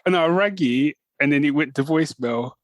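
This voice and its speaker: A man's voice: a little high, a little fast, dry recording. Playful man, dry close voice. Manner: playful, teasing banter. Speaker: podcast co-host. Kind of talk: casual chat.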